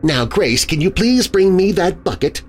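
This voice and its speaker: A man's voice: sits high, big pitch swings, normal speed, faint music behind, voice pushed up. A man voicing a coldly deranged wife who soothes and sneers with hissing menace in a horror story. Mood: calm menace.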